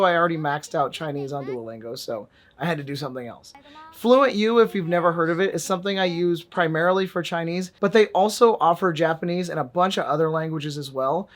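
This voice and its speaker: A man vlogging a casual app review in a wry, joking way, with sudden emphatic bursts. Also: high voice. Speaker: VR fitness and language vlogger.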